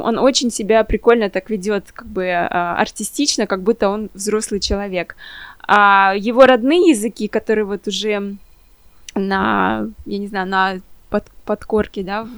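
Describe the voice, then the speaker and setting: A mother chatting on a podcast, telling her family's story with lively, filler-filled speech. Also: mid-range voice, dry recording. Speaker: guest mother in a trilingual family. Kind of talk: casual podcast conversation.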